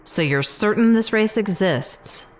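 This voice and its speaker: A woman's voice: mid-range voice, expressive, measured pace, natural voice. A woman playing an earnest, curious scientist with an inquisitive manner in a sci-fi audio drama. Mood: probing. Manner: inquisitive, questioning. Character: earnest, probing female scientist, openly curious.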